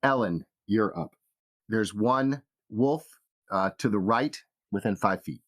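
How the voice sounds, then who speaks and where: A man's voice: fairly low pitch, slow, noisy background. A man running a tabletop fantasy game as game master, narrating the action.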